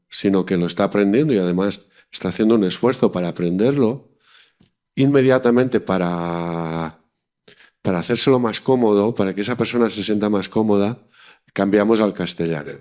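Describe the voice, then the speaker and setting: A man from Catalonia speaking earnestly in a political discussion, often pausing to search for his words. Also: fairly low pitch, darkish tone. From Catalonia, Spain.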